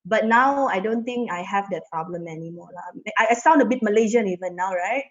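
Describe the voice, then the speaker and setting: A woman's voice: mid-range voice, fairly quick. Chatty young woman on a video call. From Indonesia. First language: Indonesian. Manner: chatty, filler-heavy with frequent "you know". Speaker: panelist. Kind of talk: casual panel talk. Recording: slightly muffled video call audio.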